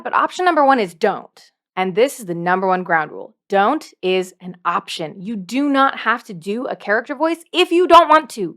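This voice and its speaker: A woman with a highish voice that swings widely in pitch as she teaches. She is playful and emphatic, restating points for effect like a host talking viewers through a scripted guide. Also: a bit bright. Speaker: dungeon master giving tips as video host. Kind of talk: scripted instructional video talk to camera.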